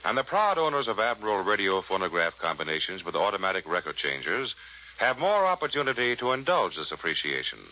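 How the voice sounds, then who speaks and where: A man's voice: deep voice, very animated, a little fast, bright, forceful tone. An American man announcing a 1940s radio advertisement in a polished, salesmanlike way. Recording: muffled vintage 1940s radio.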